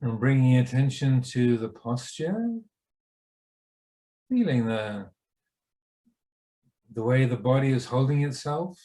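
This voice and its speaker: Man slowly guiding a meditation. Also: medium pitch, lively intonation, warm tone. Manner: unhurried, pausing between short phrases. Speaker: meditation teacher. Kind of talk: guided meditation.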